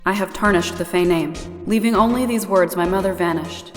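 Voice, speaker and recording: A woman's voice: fairly low pitch, a little fast, long reverb tail, soft background music, lightly acted. A woman playing a solemn, resolute spirit medium in a voiced game drama, reciting her written testament. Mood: solemn resolve.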